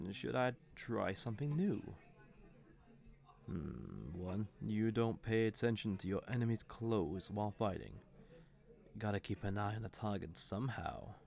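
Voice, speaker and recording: Low, gravelly, teasing villain played by a man. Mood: flirty, musing. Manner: smug, playful, unhurried teasing with soft chuckles. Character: teasing, flirtatious male fantasy villain, cocky and suave. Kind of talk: scripted audio roleplay addressed to the listener.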